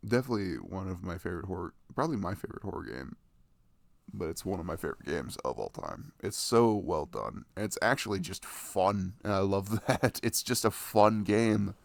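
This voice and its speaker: Man acting as affectionate nerdy boyfriend, ASMR roleplay, tender rambling chat, creaky voice, very dry close sound. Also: sits low, lively intonation, fairly quick, no room sound. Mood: nerdy, enthusiastic. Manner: tender, rambling, giggly, gushing about games. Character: sweet, affectionate, nerdy young boyfriend cuddling his partner.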